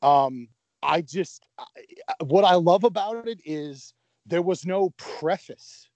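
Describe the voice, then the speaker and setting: A man with a fairly high voice talks with enthusiasm, stumbling over repeated words as he gets going. The sound is dry and close to the mic. He keeps it casual and conversational. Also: animated, slow, darkish tone, no room sound, muffled recording. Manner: enthusiastic, stammering restarts. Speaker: podcast co-host. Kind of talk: casual wrestling chat.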